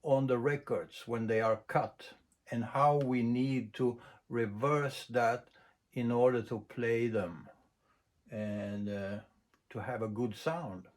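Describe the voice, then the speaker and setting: Slow-talking man, halting technical explainer. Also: mid-range voice, steady tone. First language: not English. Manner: halting, unscripted, with long hesitations. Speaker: presenter explaining audio equipment.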